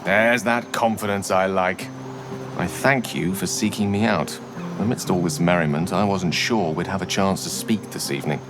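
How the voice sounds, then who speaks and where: Game companion role: eloquent, intimate gentleman wizard, deep pitch, clear music behind. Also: normal speed. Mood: softly flirtatious. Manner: courtly, wry, eloquent. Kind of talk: video game dialogue.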